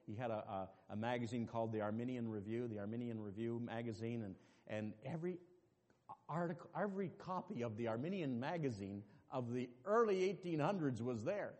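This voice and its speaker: A man preaching a sermon as pastor, dropping to quiet asides and rising to loud, emphatic calls to the congregation. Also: medium pitch, expressive, a little fast. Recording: long reverb with faint music behind.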